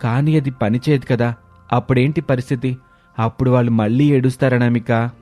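Guy as worried husband in a story, questioning, avg pitch, bit of music under. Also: fairly even intonation, brisk, a little mellow, natural voice. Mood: impressed, doubtful. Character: worried, anxious young husband and father. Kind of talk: dramatised storybook dialogue.

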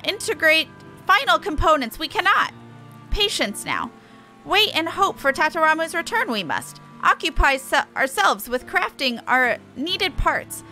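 Woman voicing a squawky, bright birdlike creature. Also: high voice, big pitch swings, a little slow, soft background music, voice pushed up, full character voice. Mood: threatening. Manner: squawking, speaks in inverted sentences. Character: gruff, broody birdlike beast-tribe creature with inverted grammar.

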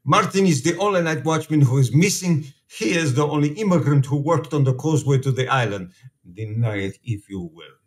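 A man's voice: on the high side, animated, fairly quick, natural voice. Man acting as earnest, defiant immigrant priest. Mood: indignant, sorrowful. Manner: earnest, pleading, formal phrasing. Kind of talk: radio play acting.

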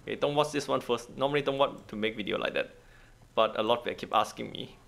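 A man who is not a native English speaker, giving a casual gameplay walkthrough in halting, repetitive short phrases. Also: medium pitch, creaky, fairly bright tone. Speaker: gaming guide host.

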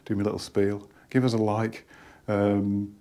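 A man in his fifties with a northern English accent, hoarse from a cold, casually reviewing hi-fi speakers at home in a rambling, hesitant way full of ums and restarts. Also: on the low side, completely flat delivery, moderate pace, warm tone. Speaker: hi-fi shop owner reviewing speakers. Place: living room at home, indoors.

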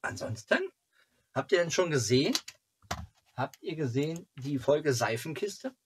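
A man in his forties from Germany chatting casually to camera as a fan channel host, in a halting, rambling way. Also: a little high, big pitch swings, a little hiss or hum. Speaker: fan club video host. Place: small cluttered home room.

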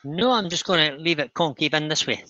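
A man hosts a live craft demonstration, chatting casually as he works. His voice sits fairly high and is very bright and forward. The sound is dry and close, slightly dulled by the stream. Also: expressive, brisk, no echo, highs cut off. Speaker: host, woodturner demonstrating live. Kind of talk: casual chat while demonstrating.

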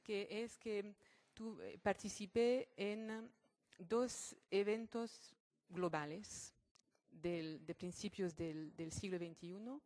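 A woman giving a public lecture as a guest speaker in non-native Spanish, halting as she searches for words. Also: mid-range voice, steady tone, a little mellow. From born in Morocco, French mother, Catalan family. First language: not Spanish; French-schooled. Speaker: guest speaker, sociologist and activist.

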